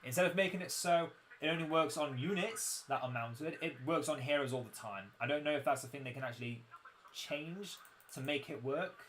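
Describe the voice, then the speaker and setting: A young man commentating casually on his own game livestream, his voice croaky, chatty and self-mocking as he explains the mechanics. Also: a little high, fairly quick, a bit bright, a little hiss or hum. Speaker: game streamer.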